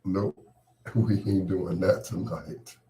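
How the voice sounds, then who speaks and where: A man with a cold hosts a late-night monologue in a very deep voice. He speaks slowly and sounds fed up, his sentences sinking away into quiet endings. A faint hiss of noise sits under the recording. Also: steady tone. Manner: irritated, dismissive, trailing off quietly. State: has a cold, voice partly lost. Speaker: host. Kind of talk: casual on-air monologue.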